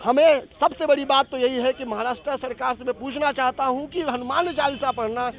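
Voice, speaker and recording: Very high-pitched man arguing insistently. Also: brisk, a bit bright. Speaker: protester interviewed on the street. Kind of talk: vox-pop political argument. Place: outdoors on a city street.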